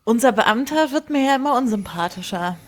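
A woman chatting and commenting casually in a relaxed group discussion. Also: fairly high pitch, brisk, slight background noise.

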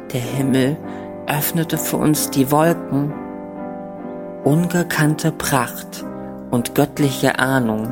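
A woman reciting poetic text as a narrator in an audio drama, in a declamatory style. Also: very deep voice. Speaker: narrator of the prologue and epilogue text. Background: piano music.

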